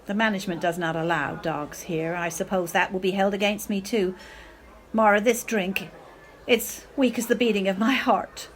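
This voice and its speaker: A woman's voice: medium pitch, fairly even intonation, normal speed, lightly acted. An amateur actress playing a bitter, drunken middle-aged mother in a radio play, theatrical and martyred, with dramatic hesitations. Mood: bitter, disdainful.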